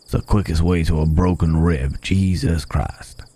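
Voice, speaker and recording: A man playing a low, unhurried cowboy drifter. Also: warm tone. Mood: exasperated, concerned. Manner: folksy, rambling, murmured asides to horse and companion. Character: gruff, wry, caring cowboy stranger, adult man. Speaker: ASMR roleplay voice actor. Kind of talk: ASMR Wild West roleplay.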